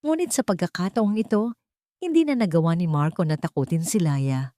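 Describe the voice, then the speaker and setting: A woman's voice: on the low side, brisk, dark tone, dry recording, studio quality. A woman reads a scripted dramatic story as its narrator.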